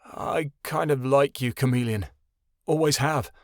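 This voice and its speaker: A man's voice: mid-range voice, unhurried, no room sound, clean studio sound, natural voice. A man voicing a shy, resentful young warlock.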